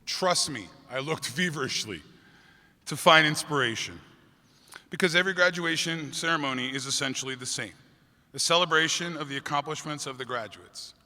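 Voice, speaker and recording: A middle-aged man, a teacher, giving an earnest graduation speech with a booming voice and light jokes for the crowd. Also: moderate pace, bright, forceful tone. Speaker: teacher giving commencement address. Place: large convention hall. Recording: long hall reverb from a PA.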